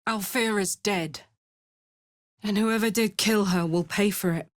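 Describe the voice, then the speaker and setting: A woman's voice: mid-range voice, measured pace, dry recording, clean studio sound, natural voice. A woman playing a brash, big-hearted warrior in a fantasy video game, speaking bluntly, earnestly and expressively. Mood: grieving resolve.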